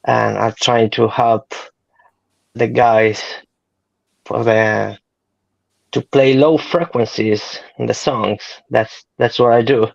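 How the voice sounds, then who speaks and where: A man from Sardinia, a bass player speaking halting English over a poor remote connection. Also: steady tone, gravelly, dry recording. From Sardinia, Italy. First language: Italian. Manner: halting, searching for words. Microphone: remote video call. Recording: dry, dull poor-connection audio.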